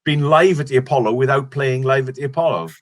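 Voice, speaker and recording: A man's voice: medium pitch, quick pace. A middle-aged Welsh comedian chatting casually in an interview, rambling and restarting his sentences. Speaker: stand-up comedian guest.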